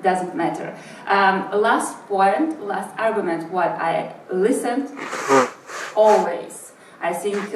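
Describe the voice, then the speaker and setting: A woman with a Russian accent answering interview questions in English, thinking aloud with many pauses and fillers. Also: mid-range voice, a little hiss or hum. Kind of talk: thoughtful spoken answer in English. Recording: roomy sound from a distant mic.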